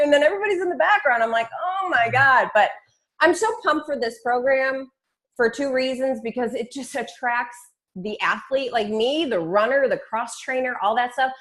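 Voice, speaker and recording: A woman hosting a team call as a fitness coach, talking casually and informally with plenty of slang. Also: high voice. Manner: chatty, informal, peppered with slang and swearing. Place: online group call.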